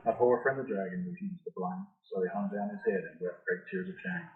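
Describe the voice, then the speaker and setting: A man reading a rhyming children's picture book aloud in a measured, sing-song storytelling style. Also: medium pitch, big pitch swings. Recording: roomy echo, thin phone-like sound.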